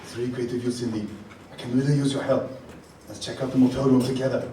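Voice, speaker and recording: A man's voice: medium pitch, quick pace, a little hiss or hum, close to an everyday voice. Man as tough action hero, echoey hall. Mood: earnest resolve. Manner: forceful, melodramatic, deadpan one-liners. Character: tough, deadpan action-hero father hunting for his daughter. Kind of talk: live comedic radio play acting. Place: rehearsal hall live performance. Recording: echoey hall, distant, music underneath.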